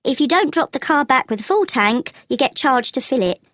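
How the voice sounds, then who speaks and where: A high female voice with very animated intonation, recorded close and dry. A woman acting as a helpful travel agent in an exam listening dialogue, explaining details in a clear, measured way. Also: no echo. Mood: helpful, advising. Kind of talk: scripted language-test dialogue acting.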